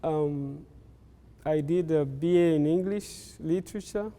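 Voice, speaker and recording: Older guy from rwanda, native kinyarwanda speaker, talks slow with lots of pauses, mellow smooth voice, echoey hall. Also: fairly high pitch. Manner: unhurried, pausing often with fillers. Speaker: interviewee. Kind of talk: slow life-story recollection.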